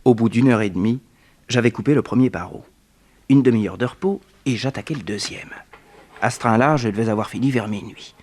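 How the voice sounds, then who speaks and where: A man's voice: medium pitch, fairly quick, darkish tone, natural voice. Guy playing young detective telling a suspenseful story, mid voice, vintage radio serial. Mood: lively, suspenseful. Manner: storytelling, suspenseful, addressing listeners. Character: jaunty young male detective telling his own adventure. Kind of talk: French radio serial narration, 1960s.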